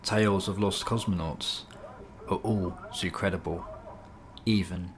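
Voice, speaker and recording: A man's voice: low-pitched, fairly even intonation, measured pace, background noise. A man reading a scripted nonfiction piece as a documentary-style narrator.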